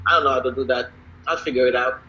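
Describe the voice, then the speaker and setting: A woman's voice: very deep voice, steady tone, racing, slight background noise. Affirming woman host, dull lo-fi call. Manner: warm and affirming, with frequent "mm-hmm" and "okay". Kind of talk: casual chat on a live video show.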